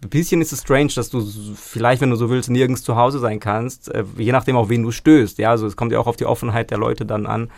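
A man's voice: medium pitch, fairly even intonation, fast talker, vocal fry, hardly any pauses, darkish tone, no room sound. A man in a band, giving reflective interview answers and searching for words with frequent restarts. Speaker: band member.

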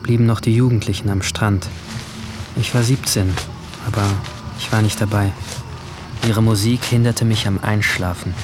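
A man playing a brooding, alienated seventeen-year-old who narrates his guilt in a hushed, introspective radio drama style. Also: on the low side, steady tone, normal speed, music in the background, close to an everyday voice. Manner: hushed, introspective, at times whispering.

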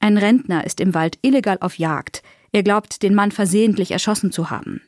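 Woman, medium pitch, scripted narration, storytelling, dry recording. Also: brisk, a little mellow, no room sound.

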